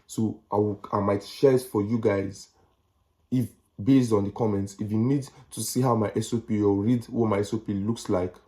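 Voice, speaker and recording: Chatty Nigerian man with a low, mellow voice. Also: steady tone, normal speed. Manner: chatty, repeats himself, slangy asides. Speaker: vlogger. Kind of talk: casual advice vlog.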